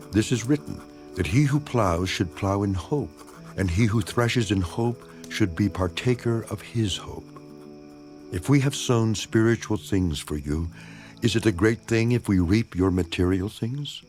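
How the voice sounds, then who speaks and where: Low-voiced, solemn apostle over music. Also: male voice. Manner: rhetorical, emphatic, solemn. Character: apostle Paul, earnest man defending his ministry. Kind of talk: dramatized scripture reading. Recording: music behind the voice.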